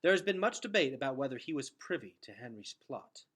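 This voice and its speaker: A courteous male council elder from a fantasy storybook, read by a man. The manner is formal and measured, with a bright voice. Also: mid-range voice, very animated. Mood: grave courtesy.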